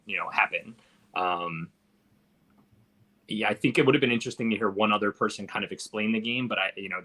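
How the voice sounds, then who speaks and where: A man's voice: on the low side, bright, forceful tone. A man in a casual film discussion, rambling and thinking aloud as a podcast panelist.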